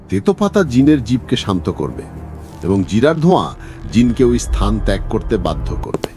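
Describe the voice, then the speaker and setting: A man narrating a horror cartoon story and voicing an old healer's warning, animated and dramatic. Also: lowish voice, husky. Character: stern old male healer.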